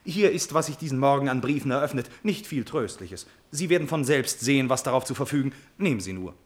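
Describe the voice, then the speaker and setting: Radio drama prince, male actor: imperious, lovesick, theatrical, husky edge, very animated intonation. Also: medium pitch, a little fast, close to an everyday voice. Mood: restless, commanding. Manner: theatrical, emphatic, swinging from outburst to brooding. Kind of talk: classical German stage drama, radio play acting.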